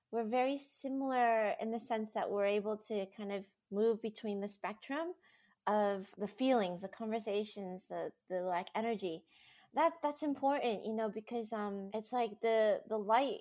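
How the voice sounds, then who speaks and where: A woman's voice: mid-range voice, darkish tone. A woman chatting casually as a podcast guest, rambling enthusiastically and laughing often.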